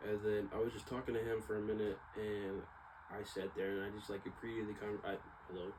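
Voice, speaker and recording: A man's voice: on the low side, flat delivery, fairly quick. A young man giving a casual, rambling personal monologue in informal slang, often circling back and trailing off. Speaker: vlogger. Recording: clearly noisy background ambience.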